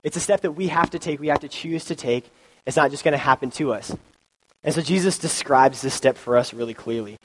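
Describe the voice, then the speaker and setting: A man's voice: on the high side, a little fast, slightly rough, low-quality recording. A young man with a cold preaching a casual youth sermon into a microphone, loose and chatty with many ums and likes. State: has a cold, sniffly. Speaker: youth pastor. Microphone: handheld or stand mic.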